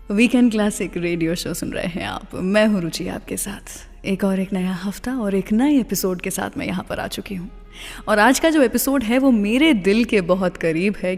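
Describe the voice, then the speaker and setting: A woman's voice: mid-range voice, darkish tone. Indian woman radio host in long reverb. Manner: mixes English phrases into Hindi. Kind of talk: scripted radio presenting with personal asides. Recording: long reverb, music under the voice. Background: background music.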